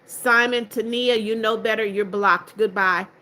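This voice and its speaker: A woman's voice: mid-range voice, steady tone, a little slow, pushed and bright. An older woman hosting a livestream, reading out chat comments and greeting viewers in a firm, strict way. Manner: strict, firm about blocking spammers. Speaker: livestream host.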